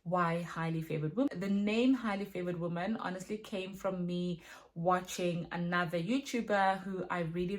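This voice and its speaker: Woman from Zimbabwe, slightly low voice, casual phone-recorded vlog, chatty with fillers, ministry leader answering questions. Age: adult with children. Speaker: vlogger and women's ministry leader. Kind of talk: casual self-filmed Q&A.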